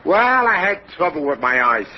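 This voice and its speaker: An actor playing a dim, punch-drunk ex-boxer with a blunt, deadpan New delivery in 1940s radio comedy style. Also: male voice, on the high side, natural voice. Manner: slow-witted, blunt, deadpan. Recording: dull noisy old radio recording.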